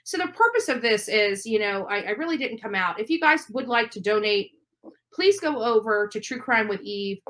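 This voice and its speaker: A woman's voice: a little high, lively intonation, normal speed, fairly bright tone. A woman hosting an informal live stream commentary, speaking emphatically with an aggrieved edge and repeating phrases to press her point. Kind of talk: informal live commentary on a true crime case.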